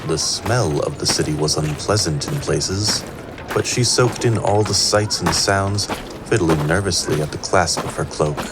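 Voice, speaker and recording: A man's voice: deep voice, measured pace. A man performing scripted narration of a fantasy tale as an all-knowing storyteller. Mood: measured. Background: prominent music.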